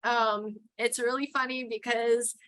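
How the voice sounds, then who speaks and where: A woman's voice: a little high, little pitch movement, measured pace, a bit bright. A middle-aged woman from New York with a Texan accent, chatting casually as an interview guest and laughing often. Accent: Texan with some New York. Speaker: guest, towboat port captain.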